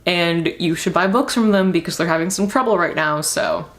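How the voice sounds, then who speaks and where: A woman's voice: lowish voice, moderate pace, a bit bright. A young woman giving a casual, rambling book review as a vlogger, speaking candidly and informally with emphatic repeated words.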